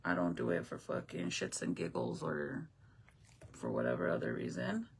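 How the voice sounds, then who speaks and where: A chatty woman whose first language is Spanish talks straight to her viewers, her voice rough with vocal fry. Her pitch jumps around as she tells her stories and drops the odd curse. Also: huge pitch swings, moderate pace, a little hiss or hum. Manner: chatty storyteller, swears casually. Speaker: live streamer. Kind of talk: casual chat with viewers.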